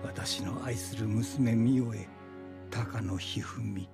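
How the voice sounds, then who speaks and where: A man's voice: fairly low pitch, fairly even intonation, vocal fry, darkish tone, soft background music. Solemn, regretful elderly grandfather. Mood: regretful, pleading. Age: older. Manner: solemn, measured, formal. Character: ailing elderly grandfather and researcher, regretful, tender. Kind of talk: dramatic letter narration in anime.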